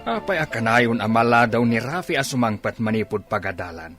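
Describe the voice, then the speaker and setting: Radio drama: actor as stern middle-aged father, ambitious, emphatic, very lively intonation, bright forceful tone. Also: mid-range voice, fairly quick, faint music behind, natural voice. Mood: expectant, proud.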